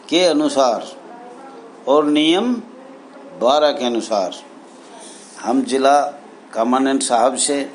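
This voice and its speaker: Guy doing an advocacy video, mid pitch, reads stuff out in short halting bits, some background noise. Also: low-quality recording. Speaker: welfare association leader addressing members. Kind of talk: advocacy video address, reading out documents and commenting.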